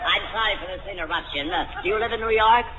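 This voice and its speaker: An actor playing a sarcastic, exasperated quizmaster in a 1940s radio comedy quiz. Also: male voice, sits high, quick pace, a loud crowd, natural voice. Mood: polite, brisk. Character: exasperated, sarcastic male quizmaster scolding his panel. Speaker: quizmaster host. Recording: echoing muffled noisy 1940s broadcast, audience. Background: studio audience.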